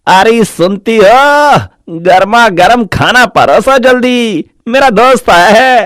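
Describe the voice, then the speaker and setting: An actor playing a grumpy, bossy village husband in a cartoon story, sarcastic and scolding. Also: male voice, extremely high pitch, expressive, takes it slowly, fairly bright tone, dry recording, clean studio sound, heavily acted. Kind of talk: Hindi cartoon story acting.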